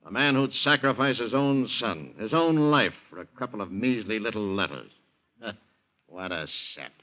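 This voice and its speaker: An American actor playing a contemptuous, mocking villain in a 1940s radio drama. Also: male voice, lowish voice, expressive, normal speed, lightly acted. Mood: sneering. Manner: mocking, scornful. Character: sneering, contemptuous male blackmailing villain. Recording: muffled old radio broadcast.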